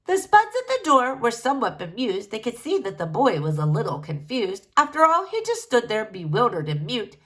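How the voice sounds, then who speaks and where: A woman narrates a rhyming children's storybook aloud. Her voice is fairly high and extremely animated, rising and falling expressively through the verse. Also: normal speed, few pauses, poor audio. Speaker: storybook reader.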